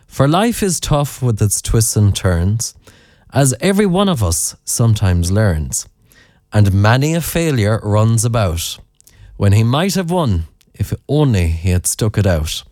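An Irish radio host with a fairly low voice that moves a lot in pitch, chatting warmly and loosely with listeners between records. He stumbles, restarts and corrects himself naturally. The sound is close and dry, with no room around it. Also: big pitch swings, unhurried, no echo. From Ireland. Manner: chatty, rambling, with fillers and self-corrections. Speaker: radio presenter. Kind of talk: late-night radio chat and poem reading.

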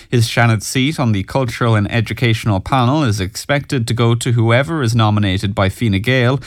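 A man reads the morning news on local radio in a measured, formal voice. His pitch sits right in the middle for a man. The studio sound is close and dry. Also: no room sound. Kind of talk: news bulletin reading and phone interview. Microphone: studio microphone.

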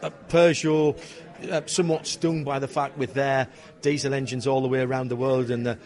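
A man's voice: mid-range voice, flat delivery, normal speed, darkish tone. Eager male interviewer cutting in. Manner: eager, jumps in with questions and facts. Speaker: interviewer host. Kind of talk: casual interview.

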